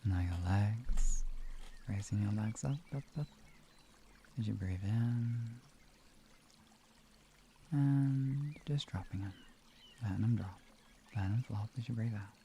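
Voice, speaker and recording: A man streaming guided relaxation and talking to viewers in a soothing, gentle, instructional manner. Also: lowish voice, slow pace, pauses often, slight background noise.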